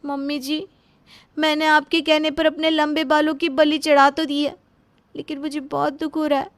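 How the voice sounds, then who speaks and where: A woman playing a high-pitched young daughter-in-law. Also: level tone, moderate pace. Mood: plaintive. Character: put-upon, plaintive young daughter-in-law.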